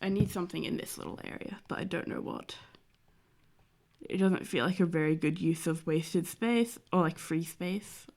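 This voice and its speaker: Young woman, stuffy cold-nosed voice, slightly low pitch, rambling casual stream chat. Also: moderate pace, a little mellow. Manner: rambling, lots of "like" fillers. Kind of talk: casual chat while drawing.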